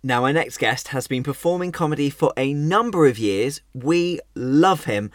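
A man's voice: a little high, animated, moderate pace, dry recording, clean studio sound. A man interviewing a guest for a radio comedy show, asking questions as the host.

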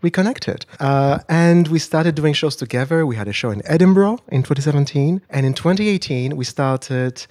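A man who co-runs an improv show, explaining it haltingly with many fillers. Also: on the high side, expressive, darkish tone, dry recording, clean studio sound. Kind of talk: interview answers.

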